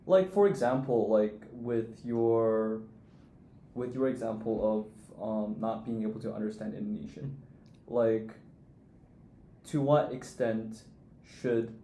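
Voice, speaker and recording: A young man with a somewhat low voice who asks questions hesitantly, pausing on his words. The room is echoey. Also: mellow. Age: young adult. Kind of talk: casual discussion. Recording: echoey room, faint noise.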